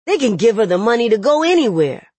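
A woman's voice: lowish voice, slow pace, no echo. Woman voicing an urgent, desperate young jailed man. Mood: agitated, angry. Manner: urgent, profane, vernacular phrasing. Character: angry, desperate young jailed man, pleading and tender by turns. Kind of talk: dramatic audiobook character dialogue.

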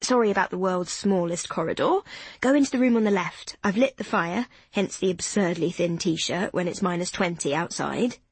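A woman voicing a cheerful, excitable young mother who talks quickly and pokes fun at herself, in a slightly husky voice. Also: lowish voice, dry recording. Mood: chatty. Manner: bubbly, quick, self-deprecating.

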